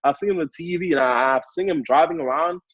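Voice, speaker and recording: Young haitian guy all hyped up on a training call, kinda husky, dull lo-fi dry sound. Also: on the high side, fast, dry recording. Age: 20s. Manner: hyped up, energetic, repetitive. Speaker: guest speaker on a sales training call. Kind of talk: motivational talk.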